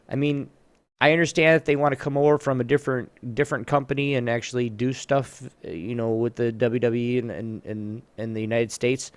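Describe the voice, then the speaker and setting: A man's voice: mid-range voice, no echo. Blunt, rambling man in dry, close sound. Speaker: podcast co-host. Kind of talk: casual chat. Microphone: online voice chat mic.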